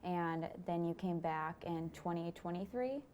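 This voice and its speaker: A woman's voice: on the low side, a little slow, a little mellow, a little hiss or hum. A young woman from Michigan, asking friendly, upbeat questions in a casual interview chat. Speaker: student interviewer.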